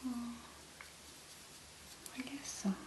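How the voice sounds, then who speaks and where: Woman doing asmr, soft whispery voice, really slow and gentle, bit of room echo. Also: background noise. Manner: gentle, slow, unhurried murmuring with pauses. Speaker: ASMR video creator. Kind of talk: ASMR, soft-spoken make-up demonstration.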